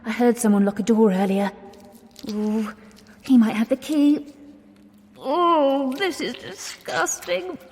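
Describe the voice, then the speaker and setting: An actress playing a nervous, exasperated young Englishwoman in a radio drama, with sharp, breathless reactions. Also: a little high, slow pace, long pauses. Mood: tense, impatient. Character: nervous, exasperated young woman stuck in dark archives. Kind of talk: 1920s-set radio drama acting. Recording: long echoing reverb with music underneath.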